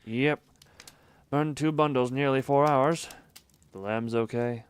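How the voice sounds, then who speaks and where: A man's voice: medium pitch, takes it slowly, clean tone. A man streaming a visual novel, reading the narration aloud and breaking off for casual asides and small laughs. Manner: reads narration evenly, breaks off for chuckling asides.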